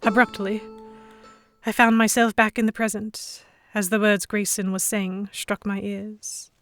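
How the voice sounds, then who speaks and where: A woman playing an anxious, abducted young heroine, narrating a pirate romance audio drama in a measured, introspective, literary manner. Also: medium pitch, level tone, dry recording, faint music behind, lightly acted. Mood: anxious, brooding. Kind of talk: scripted first-person dramatic narration.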